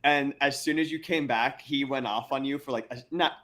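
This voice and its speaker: A man's voice: mid-range voice, fairly quick, sharp tone. A man hosting a casual interview, rambling and stammering, often breaking into laughs. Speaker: podcast host.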